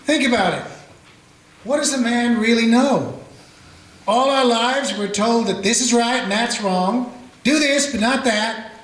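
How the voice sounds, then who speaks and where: A man's voice: very high voice, unhurried, a bit bright. A man voicing a weary small-town sheriff who narrates and questions a prisoner with folksy, dry humor. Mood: musing. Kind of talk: radio play narration and acting. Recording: echoey room with faint music.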